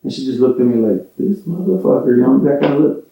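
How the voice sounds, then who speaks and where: A man, a DJ, telling a story fast in casual podcast chat and acting out the dialogue. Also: medium pitch, animated, creaky, mellow. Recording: distant, dull and echoey.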